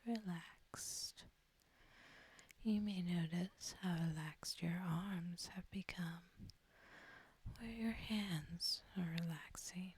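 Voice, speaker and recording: A woman softly whispering a guided sleep hypnosis in a breathy, husky voice, gentle and soothing, with long deliberate pauses. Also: fairly low pitch. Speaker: ASMR host guiding sleep hypnosis. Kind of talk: soft-spoken ASMR guided relaxation.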